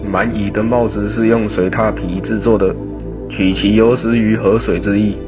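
A man's voice: on the low side, flat delivery, moderate pace, lightly acted. A young man with a Taiwanese Mandarin accent playing a formal court minister in a student radio drama. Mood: explanatory. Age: young adult. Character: protesting, formal royal court minister, male. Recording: dull lo-fi audio, music bed.

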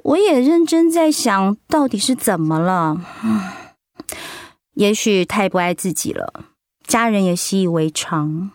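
A woman's voice: medium pitch, dry recording, clean studio sound, close to an everyday voice. An actress playing an outgoing, candid middle-aged woman in a conversational radio drama. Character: warm, outgoing middle-aged woman, breast cancer survivor.

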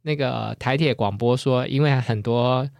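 A man with a Taiwanese Mandarin accent co-hosting a podcast in casual, humorous chat, animated and prone to laughing. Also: mid-range voice, measured pace, vocal fry, no echo. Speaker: podcast co-host.

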